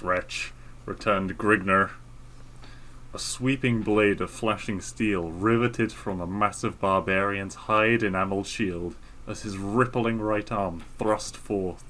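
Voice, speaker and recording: A man's voice: fairly low pitch, a bit bright, slight background noise. A man co-hosting a podcast, chatting casually and reading a story aloud. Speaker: podcast co-host.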